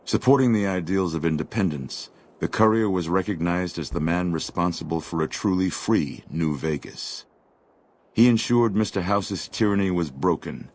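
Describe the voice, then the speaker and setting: A man narrating a game epilogue as a solemn, measured storyteller. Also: low-pitched, a little mellow. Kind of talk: scripted dramatic game narration. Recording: faint background noise.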